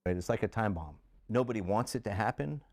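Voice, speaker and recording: Very deep-voiced American man, conversational. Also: lively intonation, fast, dry recording, studio quality. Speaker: former air traffic controller being interviewed. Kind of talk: unscripted interview answers.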